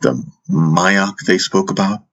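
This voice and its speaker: A man's voice: low-pitched, takes it slowly. A man voicing a stern, troubled warrior brother in a fantasy audiobook drama. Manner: stern, grave.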